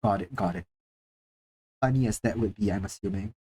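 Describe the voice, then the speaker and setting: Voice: low voice, brisk, warm tone, husky. Casual live-stream chatter, from Singapore, self-deprecating, swears casually, desk mic at home. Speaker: livestreamer.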